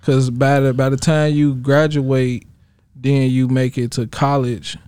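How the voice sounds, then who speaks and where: A man's voice: mid-range voice, little pitch movement, measured pace, darkish tone, smooth, no echo. Slangy American man, dry studio sound. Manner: slangy, informal questioning. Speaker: radio interview host. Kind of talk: casual interview chat.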